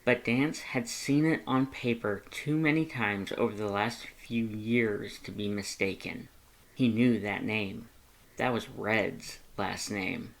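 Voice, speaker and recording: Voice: medium pitch, slow pace. A narrator reading a fan fiction story aloud from a script.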